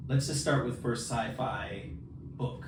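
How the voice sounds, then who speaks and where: A man's voice: medium pitch, takes it slowly. A man co-hosting a podcast in casual, playful, slangy banter about books with a friend. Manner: playful, slangy, acts out quoted lines. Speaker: podcast co-host. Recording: echoey room, distant mic, clear noise.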